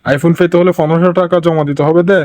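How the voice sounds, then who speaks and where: A man's voice: a little high, fairly even intonation, quick pace, a little mellow, lightly acted. A man playing a wily, blunt local in a comic phone call, speaking colloquial Bangladeshi Bengali with a Chittagonian accent in a questioning way. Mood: mock-naive, bargaining. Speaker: prank call recipient.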